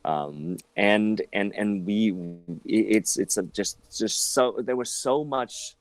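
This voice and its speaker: Deep-voiced man talking in an interview. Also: slow, dry recording, soft background music. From southern China. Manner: rambling, many restarts, animated pitch jumps. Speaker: actor, interview guest. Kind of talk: casual interview talk.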